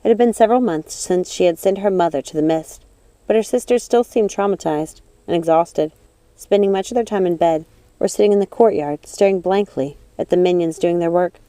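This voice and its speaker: Mellow, low-voiced woman narrating a story. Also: brisk, dry recording. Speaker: audiobook narrator.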